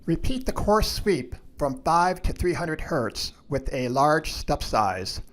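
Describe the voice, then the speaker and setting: Man narrating instructions, slightly higher-pitched voice. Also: very animated, takes it slowly, fairly bright tone.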